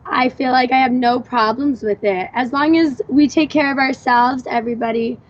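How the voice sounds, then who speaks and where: Bubbly, gushing woman guest with a very high voice, heard through dull lo-fi sound. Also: moderate pace. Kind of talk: casual live chat.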